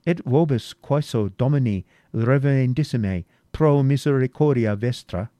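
A man voicing a gentle young woman prisoner who pleads softly for help. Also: medium pitch, moderate pace, dry recording, clean studio sound, voice pushed up, lightly acted. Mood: pleading. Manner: soft, pleading.

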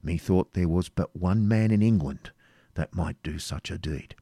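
A man's voice: low-pitched, measured pace, vocal fry, mellow, breathy edge, no echo, studio quality, full character voice. A man playing a fierce, chivalrous wounded knight in an audiobook, making impatient demands in archaic exclamations. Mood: admiring. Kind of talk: dramatic audiobook character reading.

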